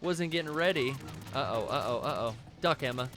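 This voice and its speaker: A man's voice: medium pitch, lively intonation, fairly quick, fairly bright tone. A man in his thirties co-hosting a live game stream, chatting casually, laughing often and swearing freely. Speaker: streamer co-host. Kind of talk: casual gameplay commentary. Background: game music.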